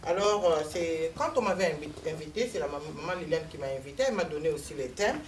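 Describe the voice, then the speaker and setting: A woman's voice: low-pitched, animated, fast talker. A woman from Congo, an invited speaker, giving a methodical, explanatory talk at a community meeting. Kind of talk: measured public address. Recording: distant mic, faint room noise.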